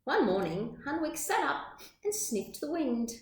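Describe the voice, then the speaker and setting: A woman reading a children's storybook aloud like a teacher, with measured, expressive, dramatic phrasing. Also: high voice. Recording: echoey room sound.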